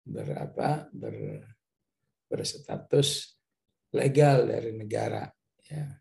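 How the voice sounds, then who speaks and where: Mellow, creaky male Islamic teacher. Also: mid-range voice. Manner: didactic, halting, frequent confirming tags. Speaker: Islamic teacher, Quran commentator. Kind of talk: religious lecture explaining scripture, with Arabic recitation.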